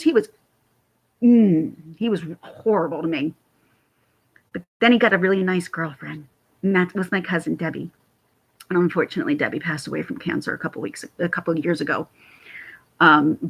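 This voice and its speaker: Raspy-voiced woman chatting on a vlog. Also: fairly low pitch, rough recording. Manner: rambling, chatty, laughs often. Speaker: YouTube commentator. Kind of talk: casual talking-to-camera vlog.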